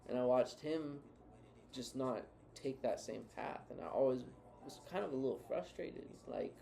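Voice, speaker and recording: Man, co-host, earnest advice-giving tone, gravelly, mellow. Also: mid-range voice, normal speed. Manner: earnest, advising. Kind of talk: reflective casual talk.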